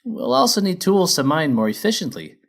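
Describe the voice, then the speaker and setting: Man as a brisk, high-pitched mare miner. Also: big pitch swings, vocal fry, exaggerated voice. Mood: brisk, businesslike. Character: brisk rugged gray mare, mining crew leader.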